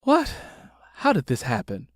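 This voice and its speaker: A man's voice: mid-range voice, wildly swooping pitch, unhurried, no echo, clean studio sound. A man voicing an outspoken, protective grown daughter in an audiobook, speaking in a direct, emphatic way. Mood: probing.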